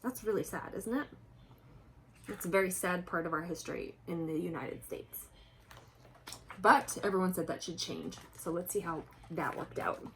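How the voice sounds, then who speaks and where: A woman's voice: fairly low pitch, animated, moderate pace, a bit bright, a little hiss or hum, low-quality recording. A woman reading a picture book aloud to children expressively, breaking off to explain things directly to young listeners. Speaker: children's book reader and presenter.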